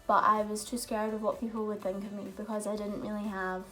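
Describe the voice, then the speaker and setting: A woman's voice: medium pitch, little pitch movement, brisk, fairly bright tone, soft background music, rough recording. A young woman, chatting openly and telling stories in a casual question-and-answer vlog. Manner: chatty, open storytelling with fillers. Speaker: vlogger.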